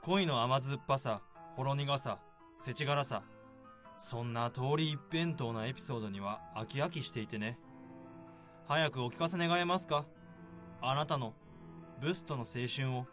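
A man's voice: medium pitch, moderate pace, a bit bright, faint music behind, natural voice. An actor playing a worldly, jaded male expert, speaking with pompous courtesy and theatrical phrasing in a radio drama. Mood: jaded, inquisitive. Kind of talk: Japanese radio drama dialogue.

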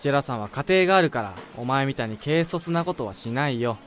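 A man's voice: medium pitch, animated, fast talker, slight background noise, natural voice. An actor playing a blunt senior office worker who is concerned for his colleague, in a radio drama. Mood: grumbling, sympathetic. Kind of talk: Japanese radio drama acting.

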